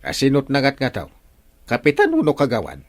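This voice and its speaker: An actor with an Ilocano accent playing a bumbling, boastful, cranky village captain in a radio comedy, blustering through many interjections. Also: male voice, medium pitch, wildly swooping pitch, fairly quick. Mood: blustering, indignant. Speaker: comic radio drama actor.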